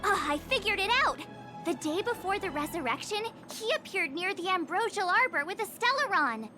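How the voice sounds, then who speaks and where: A woman's voice: extremely high pitch, lively intonation, normal speed. An actress voicing a playful, teasing young woman in a video game, bubbly and cheeky. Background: game music.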